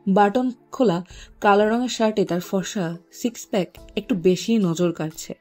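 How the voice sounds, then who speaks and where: A woman's voice: mid-range voice, normal speed, dark tone, soft background music. A woman reading scripted story narration as the narrator.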